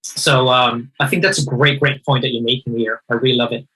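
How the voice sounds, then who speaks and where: A man in a conversational discussion, talking in an animated, emphatic way and often rephrasing mid-sentence. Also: medium pitch, a little fast, husky, low-quality recording. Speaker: guest speaker.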